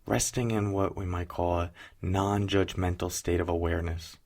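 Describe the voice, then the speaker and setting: Very low, creaky-voiced man guiding meditation. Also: little pitch movement. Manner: gentle, unhurried, soothing delivery with pauses. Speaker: meditation guide. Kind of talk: guided mindfulness meditation.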